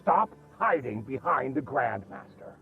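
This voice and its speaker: A man's voice: medium pitch, very animated, a little slow, vocal fry, phone-quality sound, faint music behind. A man acting a hot-headed, scornful sect elder in a video game, with biting, accusatory delivery.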